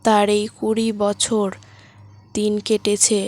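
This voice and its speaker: A woman's voice: mid-range voice, completely flat delivery, slow, a little mellow, faint music behind. A woman reading a scripted sad story as the narrator of a cartoon tale.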